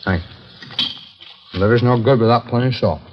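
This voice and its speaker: A man's voice: mid-range voice, normal speed, natural voice. An American man acting a casual, remorseless drifter who confesses to killings in a 1950s radio drama, talking in an offhand, rambling way on a dull, noisy old recording. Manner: offhand, matter-of-fact, rambling.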